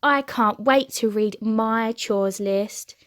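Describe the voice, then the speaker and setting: A woman's voice: a little high, slow, a bit bright, clear, resonant tone, dry recording, studio quality. A woman voicing a polite, eager, innocent young male bear in a children's storybook, with an earnest manner. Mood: excited.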